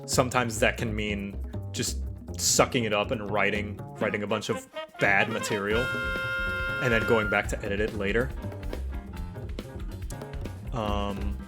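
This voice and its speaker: A man with a slight stutter chatting casually on a livestream, explaining his creative process as an animator in a halting, rambling way. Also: lowish voice, flat delivery. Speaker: streamer and animator. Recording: music playing behind.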